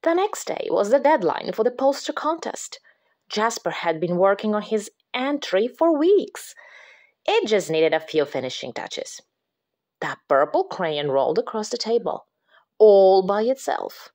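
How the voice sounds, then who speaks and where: A woman reading a picture book aloud to children, dramatic and expressive, dropping into hushed whispers at the creepy parts. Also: mid-range voice, a little slow. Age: adult. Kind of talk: expressive read-aloud storytelling.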